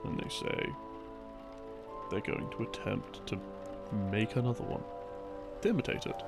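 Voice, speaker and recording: A man with a very gravelly, creaky voice talks very slowly over clearly audible music. He rambles through a story meant to help someone sleep, halting and musing between phrases. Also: lowish voice, sing-song. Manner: halting, musing delivery with pauses. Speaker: audio roleplay creator playing a boyfriend. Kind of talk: rambling storytelling, sleep-aid lore explanation. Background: background music.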